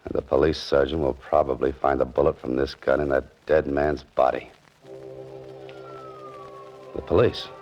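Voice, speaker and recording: An actor playing a wry young fiction writer caught up in crime, in 1940s radio style with an American accent and a sardonic, hard-boiled delivery. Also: male voice, rumbling low, flat delivery, normal speed, long pauses, muffled recording, slight background noise. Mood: wry, brooding. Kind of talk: 1940s radio acting, first-person noir narration.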